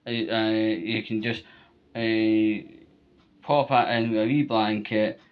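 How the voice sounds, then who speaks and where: Casting: Scottish male vlogger, lowish flat-toned voice, unhurried, emphatic informal advice, muffled lo-fi sound. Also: clean tone. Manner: emphatic, repetitive, checks in with "right?". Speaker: vlogger giving storm safety advice. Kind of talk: informal spoken advice to viewers.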